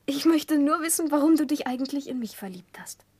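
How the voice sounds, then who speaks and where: A woman's voice: sits high, expressive, fairly quick, dark tone, no echo. An actress playing a childish, playful young woman with a coquettish, naive, half-whispering manner in a 1960s German radio play. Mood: coaxing.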